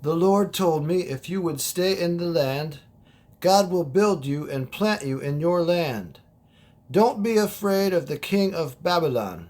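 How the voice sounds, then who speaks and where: A man acting a solemn prophet who delivers God's warning in a measured, declamatory way, in an amateur audio drama. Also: fairly high pitch, a little mellow. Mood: solemn, reassuring.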